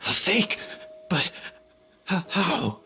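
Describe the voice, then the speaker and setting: A weary, despairing game character. His speech is slow and faltering, breathy, and swings widely in pitch over faint music. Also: sing-song, pushed and bright, heavily acted. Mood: shaken disbelief. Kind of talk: dramatic video game voice acting.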